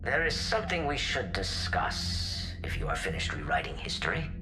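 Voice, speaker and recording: Animated, sardonic alien politician. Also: male voice, deep voice, normal speed, noisy background. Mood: sardonic, displeased. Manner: pointed, formal. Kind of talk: video game cutscene acting.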